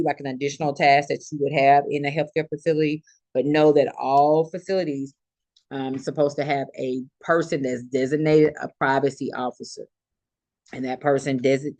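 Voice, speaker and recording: An instructor with a mid-pitched voice delivers an informal classroom-style lecture. The delivery is explanatory, circling back to restate key points in other words. The sound is dry, with very little room echo. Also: a little mellow. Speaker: instructor lecturing healthcare students.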